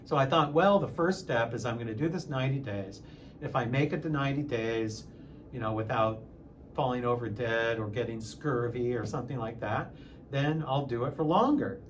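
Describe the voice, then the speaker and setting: A man of sixty talking casually in a personal vlog, halting at times and repeating phrases for emphasis. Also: medium pitch, a little hiss or hum. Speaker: music teacher and channel host.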